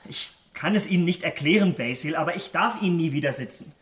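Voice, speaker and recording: Junger, eleganter Herr, helle Männerstimme. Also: male voice, on the high side, animated, fast, a bit bright. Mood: evasive, firm. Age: young adult. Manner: polished, formal diction. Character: elegant, secretive young gentleman, charming but guarded. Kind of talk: German radio drama acting.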